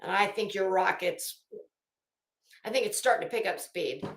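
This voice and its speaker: A woman's voice: medium pitch, moderate pace, reverberant. A woman delivering an unscripted, opinionated commentary rant as an online host, sarcastic and taunting, with theatrical emphasis.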